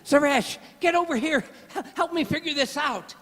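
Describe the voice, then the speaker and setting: A man's voice: very high-pitched, moderate pace, pushed and bright, a little hiss or hum. An actor playing a vain, resentful, whiny gangster henchman in an amateur radio play. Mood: boastful, aggrieved. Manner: boastful, whiny, old-time gangster slang. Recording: echoey reverberant hall.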